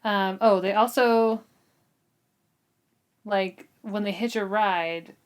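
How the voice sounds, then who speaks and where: A woman's voice: mid-range voice, fairly even intonation, slow pace, smooth. A woman co-hosting a book discussion, recapping a novel in a casual, giggly, conversational way. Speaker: book discussion podcast co-host.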